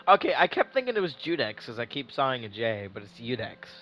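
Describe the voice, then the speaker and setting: A man giving casual live reaction commentary as a gaming streamer, excitable, repeating himself as he thinks aloud. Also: medium pitch, fast talker.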